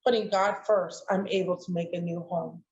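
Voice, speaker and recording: A woman's voice: on the low side, fairly even intonation, moderate pace, darkish tone. A woman reciting her own poem in an emphatic, declamatory spoken word manner. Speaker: poet, showcase participant. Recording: roomy, dull lo-fi online audio.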